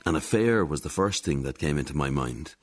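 An actor playing a defeated ex-husband in a radio drama monologue, measured and rueful as he confides. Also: extremely low pitch, lively intonation, faint music behind.

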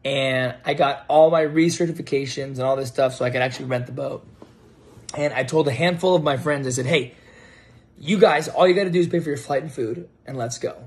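An American man in his thirties telling a story casually to camera, animated and halting as he repeats words. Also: mid-range voice. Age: 30s. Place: home kitchen, indoors. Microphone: phone propped on table at arm's length.

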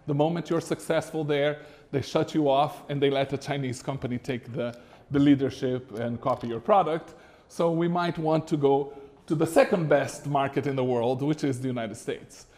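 A middle-aged man from Brazil giving a masterclass presentation to a live audience in a small room, animated and conversational with frequent fillers. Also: mid-range voice, normal speed. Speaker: business consultant lecturer. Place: small lecture room with a screen.

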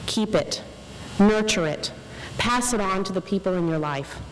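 A woman's voice: mid-range voice, expressive, moderate pace. Young woman speaking in a reverberant hall. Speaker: faculty commencement speaker. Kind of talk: prepared inspirational speech. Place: large indoor ceremony hall, on stage. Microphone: podium microphone. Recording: reverberant hall with clear background noise.

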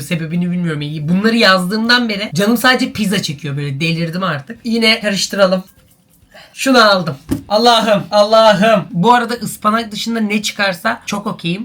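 A man's voice: sits high, pushed and bright. A young man chatting casually to the camera as a vlogger, often exclaiming and complaining in a playful way. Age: young adult.

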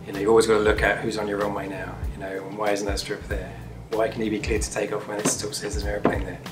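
A man in his thirties with a fairly low voice explains things informally, like an instructor at work. He talks quickly, and music is clearly audible behind him in the room. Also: little pitch movement. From United Kingdom. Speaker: air traffic controller and instructor. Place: indoor tower simulator room.

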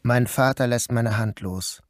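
A man's voice: medium pitch, a little slow, no room sound, clean studio sound. A man narrating an audio drama in the first person, as its protagonist. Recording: noticeable background noise.

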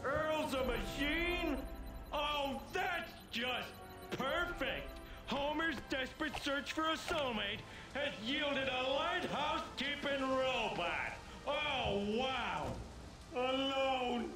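Guy doing dim loud cartoon everyman, high and whiny, takes his time, game cutscene with music. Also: lively intonation, slow, heavily acted, rough recording. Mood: despairing. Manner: exclamatory, swinging from muttering to wailing. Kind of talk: animated video game cutscene acting.

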